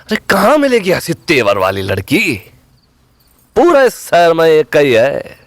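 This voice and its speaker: Smug small-town thug, slow drawl, swoops up and down when he jeers. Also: fairly high pitch, wildly swooping pitch, no echo, exaggerated voice. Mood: teasing. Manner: mocking, leering, laughs at others' pain. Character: sly, taunting young male village thug. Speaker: villain. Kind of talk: audio drama acting.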